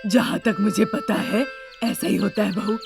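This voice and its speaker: A woman voicing a practical, sceptical older mother-in-law with a creaky voice in a family story. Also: mid-range voice, steady tone, a little slow, music in the background. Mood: matter-of-fact.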